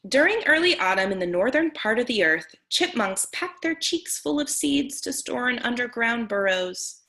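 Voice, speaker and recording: A woman reading a picture book aloud as a teacher on a video call, pausing to explain words simply for children. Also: a little high, very animated, pushed and bright. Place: online video meeting with screen sharing.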